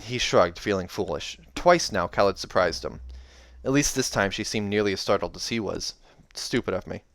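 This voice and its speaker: A man's voice: lowish voice, moderate pace, fairly bright tone, no echo. A man narrating a fantasy novel aloud, with informal, self-correcting asides as he reacts to the text. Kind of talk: audiobook narration with casual asides.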